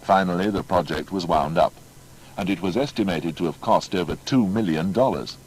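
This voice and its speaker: A man's voice: low-pitched, normal speed, a little mellow, husky, rough recording. A man narrating a scripted biography in a formal, emphatic storytelling manner. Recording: faint background noise.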